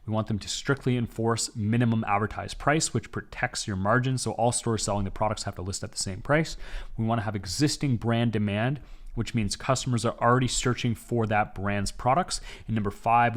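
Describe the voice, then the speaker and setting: A young man from Canada teaching an online business course in a steady, step-by-step instructive way. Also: fairly low pitch, brisk. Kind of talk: scripted online business lesson.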